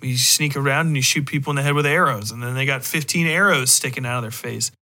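A man's voice: medium pitch, fast talker, bright, forceful tone. A man hosting a podcast, talking in a casual, opinionated way with blunt, casually profane phrasing. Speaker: podcast host. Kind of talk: casual opinionated discussion of video games.

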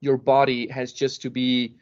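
A man explaining things casually as a podcast guest, with emphasis and pauses to find his words. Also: mid-range voice, monotone, a little mellow. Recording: dull lo-fi podcast audio.

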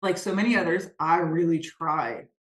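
Guest coach, low-pitched, thoughtful delivery, recorded in an echoey room. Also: female voice, moderate pace. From Denmark. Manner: earnest, reflective, searching for words. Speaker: guest, spiritual business coach. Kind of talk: conversational interview.

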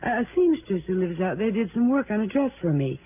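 A woman's voice: medium pitch, very animated, a little fast, natural voice. An actress playing a talkative, evasive married woman in an American radio drama, with an American accent and a stammering, deflecting manner. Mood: evasive, flustered. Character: chatty, evasive married woman growing flustered under questioning. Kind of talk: mid-century American radio drama acting. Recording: noisy, narrow-band vintage radio recording.

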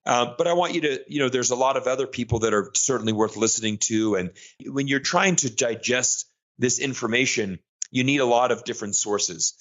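An American man talks eagerly in an interview, stumbling over restarts and fillers at a middling pitch. The sound is slightly muffled and lo-fi. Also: a little fast, fairly bright tone. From United States. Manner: enthusiastic, frequent restarts and fillers. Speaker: guest analyst. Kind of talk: conversational interview. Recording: muffled lo-fi audio.

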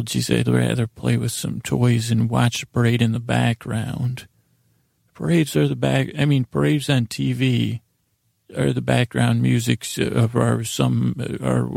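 Very gravelly, mellow American man. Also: mid-range voice, no room sound, clean studio sound. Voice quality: gravelly, raspy. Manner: meandering and halting, stammers and trails off mid-sentence. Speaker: host of a bedtime sleep podcast. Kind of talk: rambling, improvised bedtime monologue.

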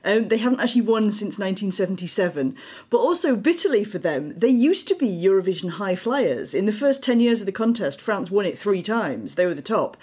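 A woman's voice: medium pitch, expressive, brisk, darkish tone, slightly rough. A woman speaking as an editor on a podcast panel, explaining news in a conversational way.

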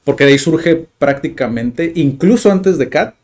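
A man's voice: on the high side, lively intonation, normal speed, darkish tone. A young man hosting a podcast, chatting casually and loosely, with frequent fillers and restarts. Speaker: podcast host. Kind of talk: casual conversational interview.

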